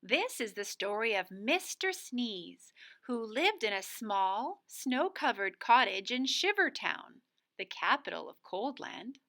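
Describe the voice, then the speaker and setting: A woman narrates a storybook for young children. Her voice is fairly high and bright, and she reads slowly with a lively rise and fall. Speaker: guest co-reader for children.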